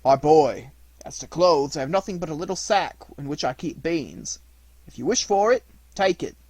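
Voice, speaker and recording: A man's voice: fairly high pitch, animated, moderate pace, darkish tone, no room sound. A man voicing a little old cottager in a storybook, blunt and emphatic but kindly. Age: elderly.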